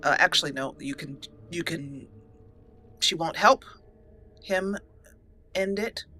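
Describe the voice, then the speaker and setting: Bright-voiced woman narrating a roleplay game. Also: lowish voice, takes it slowly, a little hiss or hum. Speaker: gaming channel host. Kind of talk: roleplay let's play narration.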